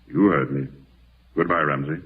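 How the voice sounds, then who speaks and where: An unflappable country doctor, a low-voiced man with a very husky tone. He is dry and matter-of-fact in old radio drama style. The recording is narrow and muffled. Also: fairly even intonation, normal speed, full character voice. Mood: dry, curt.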